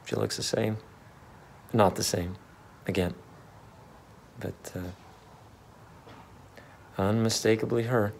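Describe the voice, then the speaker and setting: A man's voice: fairly low pitch, fairly even intonation, takes it slowly, gravelly, long pauses, a little mellow. A man in his thirties playing a brooding, hesitant married father in a dramatic third-person monologue reading. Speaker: actor in a staged reading. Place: in a car, then beside a wall. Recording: car and ambient noise behind.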